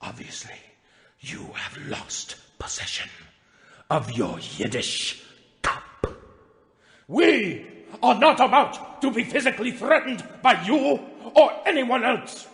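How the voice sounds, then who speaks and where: Indignant corporate executive acted by a man: high male voice, pompous, theatrical formal reading, heavy hall reverb. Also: very animated, slow pace, vocal fry, heavily acted. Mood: hushed menace. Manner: pompous, theatrical delivery with sharp swells and drops. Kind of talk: dramatic reading of formal emails. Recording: long reverberant hall echo.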